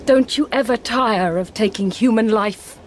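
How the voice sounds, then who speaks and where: A woman's voice: a little high, measured pace, a little hiss or hum, close to an everyday voice. A woman playing an indignant wronged woman confronting a villain in a period radio drama. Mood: accusing.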